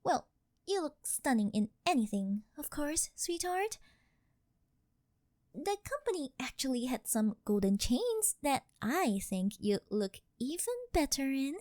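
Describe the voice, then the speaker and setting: A woman's voice: high-pitched, darkish tone, no echo, clean studio sound, exaggerated voice. A woman voicing a rich, doting and possessive older girlfriend in an ASMR roleplay, coaxing and sweet-talking.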